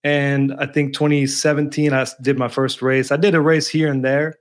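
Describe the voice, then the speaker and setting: A man with a mid-range voice, telling his story in an easygoing, conversational way as a podcast guest. He keeps a relaxed, friendly flow. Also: medium pitch, normal speed, clear, resonant tone. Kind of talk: casual podcast chat.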